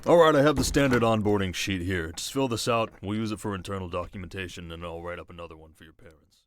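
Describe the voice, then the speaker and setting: A man playing a stern, demanding middle-aged rich businessman father in an audio roleplay, speaking in a measured, formal, commanding way. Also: sits low, voice pushed down, close to an everyday voice. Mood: businesslike.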